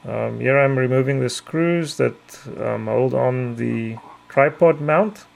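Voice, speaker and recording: Middle-aged Afrikaans-native male narrator, mid-pitched gravelly voice, methodical tutorial delivery. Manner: measured, careful, frequent ums. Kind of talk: explanatory voice-over.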